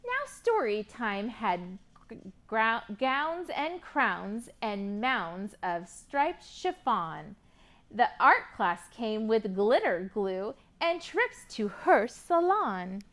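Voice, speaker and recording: A woman's voice: on the high side, big pitch swings, extremely slow, fairly bright tone. A woman hosting a library storytime for young children, reading expressively and prompting them with encouraging questions. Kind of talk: picture-book reading and sing-along for young children.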